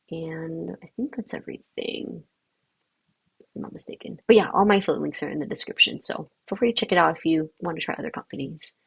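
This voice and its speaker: A young woman who grew up speaking Vietnamese chats casually with her livestream viewers. Her voice is low-pitched, gravelly and husky, recorded dry and close. She talks in an upbeat way with lots of fillers. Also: dry recording. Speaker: livestream host.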